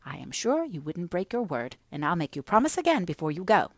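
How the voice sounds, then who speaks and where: A woman's voice: lowish voice, very animated, brisk, no echo. Sickly youth acted by a woman, languid, plaintive, clinging, audiobook character, dry recording.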